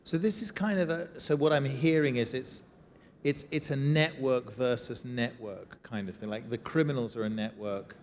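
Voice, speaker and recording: A man interviewing a guest on a conference stage, wry and quick with quips, often restarting his sentences. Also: medium pitch, slight background noise. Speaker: interviewer, moderator. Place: conference stage before an audience. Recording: reverberant conference hall.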